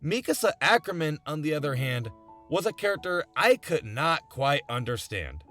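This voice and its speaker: Animated, higher-pitched young man narrating. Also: moderate pace. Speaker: video essayist. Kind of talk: scripted video essay narration. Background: background music.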